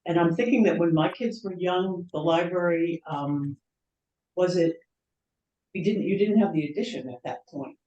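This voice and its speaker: An older town resident introducing themselves haltingly and reminiscing. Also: medium pitch, dark tone. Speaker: meeting attendee. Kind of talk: casual self-introduction. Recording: dull, echoey, far from mic.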